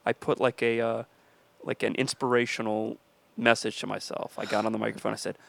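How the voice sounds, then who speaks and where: A man's voice: on the low side, flat delivery, a little fast, vocal fry, no echo. A man co-hosting a podcast with his wife, rambling through funny stories in a slightly nasal voice and breaking into silly voices. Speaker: podcast co-host. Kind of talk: casual comedic chat.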